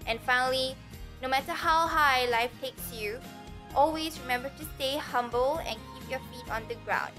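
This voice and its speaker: A woman's voice: sits high, normal speed, bright, forceful tone, faint music behind. A young woman with a Malaysian accent, chatting casually and enthusiastically as she introduces herself on video. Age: young adult. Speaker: pageant contestant.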